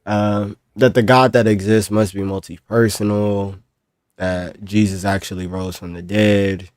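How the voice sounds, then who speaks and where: Man, low voice, dark tone, dry sound, apologist, methodical explainer. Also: level tone, dry recording. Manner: measured, explains step by step with rhetorical "right?". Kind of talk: live online debate.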